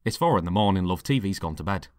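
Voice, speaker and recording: A man's voice: low-pitched, animated, fast, a little mellow, no echo. A man voicing a controlling boyfriend in an audio story, colloquial and mock-affectionate, with a temper under the cajoling. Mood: falsely affectionate. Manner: colloquial, calls listener love, cajoling then bickering. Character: controlling boyfriend, mock-affectionate, prone to sudden indignant rage. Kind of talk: dramatic monologue in an audio story.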